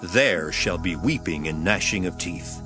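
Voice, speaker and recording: A man's voice: sits low, animated, measured pace, music in the background. An actor playing a grave, authoritative male teacher in a dramatized scripture reading, speaking solemnly and emphatically. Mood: emphatic storytelling.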